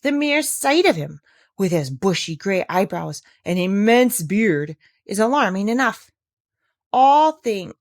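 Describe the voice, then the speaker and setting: A woman's voice: medium pitch, vocal fry, no echo, clean studio sound. A woman voicing a talkative, inquisitive village woman from a storybook, speaking in an emphatic, gossipy way. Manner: exclamatory, emphatic, gossipy questioning. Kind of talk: storybook character reading.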